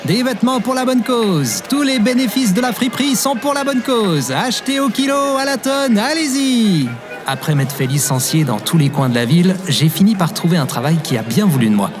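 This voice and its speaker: A goofy, self-deprecating young man, both narrating and living his story in an audio drama. He sounds devoted and sincere. Music is clearly present under the voice. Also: high voice, very animated, normal speed. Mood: boisterous hawking. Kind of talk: fiction podcast acting with narration.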